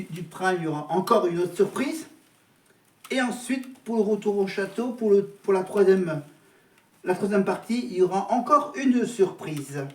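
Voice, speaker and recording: High-voiced French-speaking man, echoey room. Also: distant mic. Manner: hesitant, repeats words and restarts often. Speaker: vlogger. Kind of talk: casual chat to camera.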